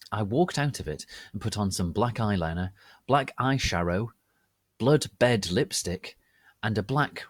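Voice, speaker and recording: Slow, animated, low-pitched male narrator. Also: slightly rough, no echo, studio quality. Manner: theatrical, deliberate. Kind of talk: dramatic reading of fan fiction.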